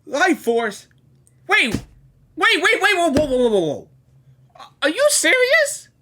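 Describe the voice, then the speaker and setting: A woman giving casual, excitable gaming reaction commentary, slangy, repeating words for emphasis. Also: very high-pitched, slow pace, a little hiss or hum. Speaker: gaming reaction video host. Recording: game music faintly behind.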